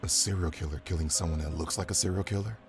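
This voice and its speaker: A man's voice: low-pitched, fairly even intonation, quick pace, gravelly. A man acting a world-weary, dry-witted police detective in an audio drama, loyal and sardonic. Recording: prominent music under the dialogue.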